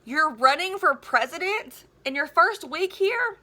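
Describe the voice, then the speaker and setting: Woman playing a nerdy eighth-grade boy, high-pitched, bright, wry, resigned, dramatic storybook delivery. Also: lively intonation, unhurried, heavily acted. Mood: incredulous.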